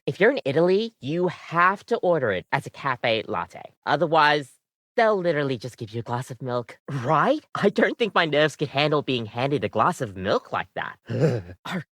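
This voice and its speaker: Lively, low-pitched video game barista. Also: female voice, moderate pace, no echo, clean studio sound, full character voice. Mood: earnest, chatty. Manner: bubbly customer-service patter, slightly awkward asides. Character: friendly, chatty female barista, personified coffee maker. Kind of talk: animated video game voice acting.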